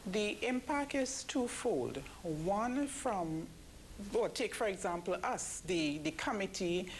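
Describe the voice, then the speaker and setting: A woman giving measured, explanatory answers as an interview guest. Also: medium pitch. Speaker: interview guest, event committee member. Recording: clearly noisy background ambience.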